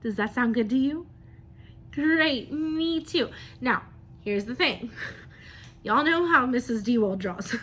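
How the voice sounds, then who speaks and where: A woman's voice: high voice, expressive, slow pace, long pauses, fairly bright tone. A woman, an elementary teacher reading and chatting to young children, often asking them questions and affirming their answers. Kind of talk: children's read-aloud and drawing lesson.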